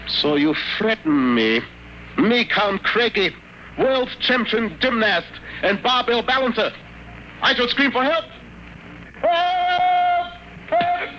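An actor playing a sly scientist who poses as a pompous mental patient in a 1940s radio drama. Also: male voice, very high voice, sing-song, takes it slowly, pushed and bright, heavily acted, poor audio. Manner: pompous, theatrical, then coldly menacing. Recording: old radio broadcast with faint hiss.

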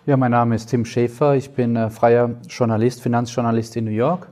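Encouraging man, mellow voice. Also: mid-range voice, little pitch movement, fairly quick. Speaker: panelist, financial journalist. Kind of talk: advice to students.

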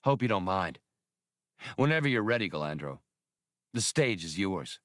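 A man's voice: sits low, very animated, moderate pace, a bit bright, dry recording, studio quality. A man voicing a cocky, daring space smuggler pilot with a brash, taunting manner in an audiobook. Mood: amused. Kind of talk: dramatized audiobook character voice.

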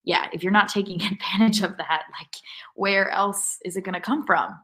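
A woman's voice: medium pitch, fairly even intonation, moderate pace, a bit bright. A woman talking casually as a soccer fan on a podcast, in a questioning, frustrated manner. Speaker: podcast co-host, sports fan.

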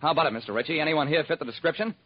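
A man's voice: a little high, racing, slightly rough. An actor playing a wry, hard-boiled private detective, narrating his case in clipped old-time radio style. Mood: probing. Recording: muffled vintage radio recording.

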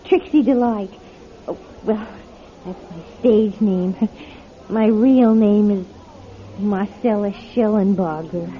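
An actress playing a young blonde go-go dancer in a radio drama, naive, touchy and easily upset. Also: female voice, medium pitch, slow, close to an everyday voice. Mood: shy, warming. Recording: dull lo-fi radio drama tape.

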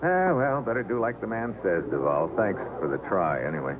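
An actor playing a wry, hard-boiled American agent in a radio thriller, terse and slangy, on an old recording. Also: male voice, on the low side, sing-song, a little fast. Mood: resigned, sardonic. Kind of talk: old-time radio thriller, first-person narration and dialogue. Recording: muffled, noisy vintage radio recording.

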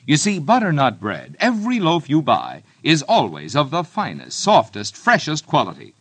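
Male commercial announcer, American, vintage radio pitch, lively intonation, dry close sound, emphatic. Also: slightly rough, dry recording. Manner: emphatic, deliberate salesmanship. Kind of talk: 1940s-50s radio advertising read.

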